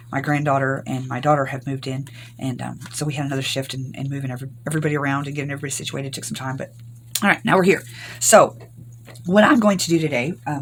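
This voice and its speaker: An older woman from Arkansas, hoarse from recent illness, chatting through a rambling crafting tutorial. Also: a bass voice, slight background noise. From Arkansas, US South. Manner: rambling, homey, many ums. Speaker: craft channel host.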